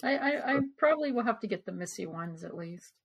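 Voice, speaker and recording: A woman with a slightly rough, husky voice at an average pitch, recorded dry. She chats casually as a podcast panelist, adding brief comments to the conversation. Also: expressive, a little fast, dry recording, highs cut off. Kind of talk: casual chat, brief interjections.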